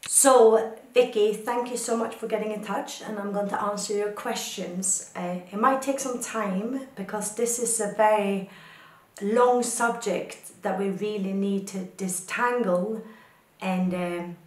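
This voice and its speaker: Swedish-born woman talking slow and thoughtful, kinda poetic, roomy echo around her. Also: mid-range voice, fairly even intonation, darkish tone. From Sweden. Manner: thoughtful, unhurried, poetic phrasing. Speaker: photographer answering questions. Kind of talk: reflective personal talk.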